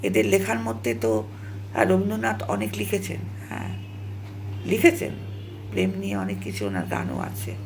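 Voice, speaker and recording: A woman vlogger speaking straight to viewers in an opinionated, halting monologue, repeating herself and scolding with emphatic rhetorical questions. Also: very deep voice, very animated, darkish tone, a little hiss or hum.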